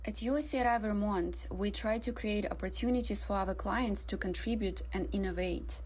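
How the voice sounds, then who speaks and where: A woman hosting a radio interview, formal and measured. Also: medium pitch, darkish tone, husky. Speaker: host, interviewer.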